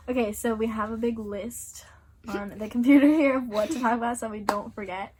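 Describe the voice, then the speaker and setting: Chatty young American woman, higher voice. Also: steady tone, fairly quick, darkish tone. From USA. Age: young adult. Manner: chatty, giggly, many "like" fillers. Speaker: exchange student vlogger. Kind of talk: casual chat. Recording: noisy home recording.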